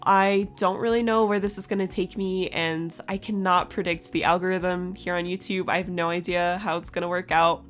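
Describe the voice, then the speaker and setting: A woman in her twenties with a raspy, scratchy just-woke-up voice, chatting casually in a voice-over for her art channel and laughing often. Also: fairly low pitch, level tone, normal speed, hardly any pauses, soft background music.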